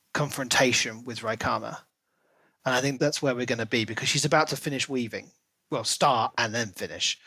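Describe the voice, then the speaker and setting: A man's voice: mid-range voice, fairly bright tone, no echo. A man co-hosting a podcast, talking casually and speculatively about a book. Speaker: podcast co-host.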